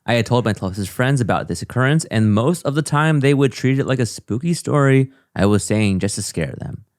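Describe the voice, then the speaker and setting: A man's voice: mid-range voice, expressive, a little fast, no echo. A man co-hosting a comedy podcast, reading a listener's email aloud in a dry, matter-of-fact way with joking asides. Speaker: co-host.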